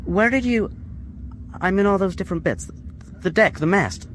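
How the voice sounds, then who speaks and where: A man's voice: high voice, lively intonation, normal speed, slight background noise. An actor playing an earnest, anxious young male American prisoner, a devoted son, in a radio drama. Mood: anxious, curious. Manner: hesitant, earnest.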